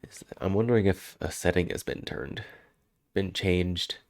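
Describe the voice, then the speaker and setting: A man's voice: sits low, a little slow, gravelly, a little mellow, no room sound, studio quality. A man with a head cold, sounding congested, chatting casually and rambling on a live stream. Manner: rambling, self-interrupting, chuckling.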